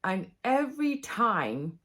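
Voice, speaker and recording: A woman's voice: a little high, big pitch swings, slow, fairly bright tone, faint music behind. A woman chatting confessionally to camera as a vlogger, candid, emotional and rambling. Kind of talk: confessional casual chat to camera.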